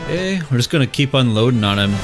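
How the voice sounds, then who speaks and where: A man's voice: medium pitch, fast talker. A man with a stuffy head cold, hosting a let's play and chatting casually as he plays and narrates. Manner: relaxed, chatty, thinks aloud while playing. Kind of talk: game commentary and voiceover narration. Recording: faint game music behind.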